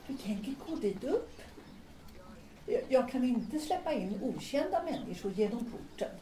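A woman's voice: a little high, very animated, mellow. A woman playing a wary, stubborn older lady in an audio drama, hesitant and suspicious, repeating herself as she talks. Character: wary, stubborn, anxious older lady guarding her building. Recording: roomy, distant mic with noise.

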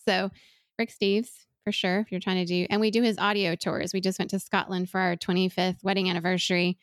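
A woman's voice: on the low side, level tone, fairly quick, dry recording. Earnest woman, dry close sound. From Venezuela. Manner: earnest, thoughtful, with frequent restarts. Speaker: guest. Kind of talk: reflective interview conversation.

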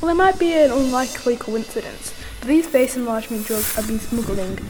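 A woman's voice: a little high, fairly quick, darkish tone, a little hiss or hum, close to an everyday voice, poor audio. A female performer playing a scheming, boastful snake-haired villainess in an amateur comic radio play, with theatrical, persuasive delivery. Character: scheming snake-haired Medusa, villainous drug cartel boss.

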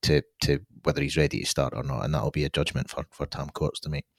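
Very deep-voiced man chatting football. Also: steady tone, fast, no room sound, studio quality. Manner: thoughtful, hedging, many restarts. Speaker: football podcast co-host. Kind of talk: casual football chat.

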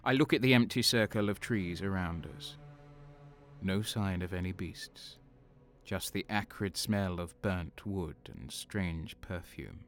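A slow, brooding renegade guardsman. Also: sits low. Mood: tense. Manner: slow, brooding first-person narration. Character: bitter, violent male renegade guardsman. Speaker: narrator and lead. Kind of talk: audio drama narration. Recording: music underneath.

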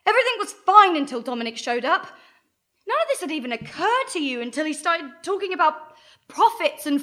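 An actress playing a feisty, sarcastic adventure heroine in a radio play, speaking bluntly and indignantly. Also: female voice, very high voice, sharp tone, full character voice.

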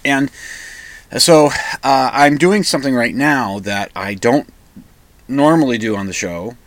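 A male radio host with a slightly croaky voice from a cold and a lozenge in his mouth, rambling casually and self-deprecatingly. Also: medium pitch, a little slow, no echo. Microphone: microphone into recording software.

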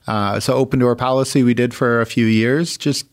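A man's voice: mid-range voice, fairly even intonation, moderate pace. Friendly man, a priest guest. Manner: friendly, easy laughs. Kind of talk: casual interview.

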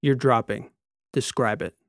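A man's voice: mid-range voice, measured pace, fairly bright tone, dry recording, studio quality. A man voicing a commanding, demanding military examiner in an audiobook, with barked, clipped orders. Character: commanding one-eyed male military examiner, demanding, intense.

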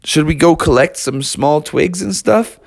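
Storybook bear boy, eager but skeptical, slightly fry-edged voice, played by a man. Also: a little high, a little slow, dry recording, studio quality, voice pushed up.

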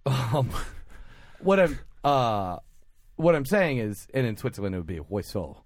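A man chatting casually among friends, rambling with wry jokes and quick asides. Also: medium pitch, big pitch swings, no echo. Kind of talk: casual chat.

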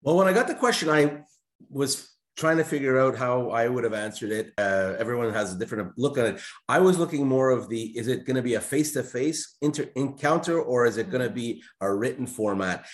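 A man's voice: mid-range voice, lively intonation, a little fast. A man on a discussion panel, speaking firmly and repeating phrases for emphasis.

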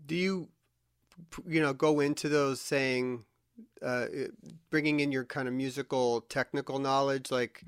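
A male interviewer with a smooth, resonant voice poses long, winding questions to his guests. The sound is close and dry, with no room around it. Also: mid-range voice, steady tone, measured pace, dry recording.

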